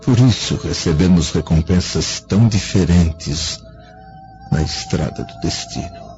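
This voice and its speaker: A Brazilian man acting a repentant, sorrowful old Roman senator in a religious radio drama, speaking gravely and slowly. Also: deep voice, animated, mellow. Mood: remorseful, mournful. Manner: slow, grave, mournful. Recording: dull lo-fi audio with music.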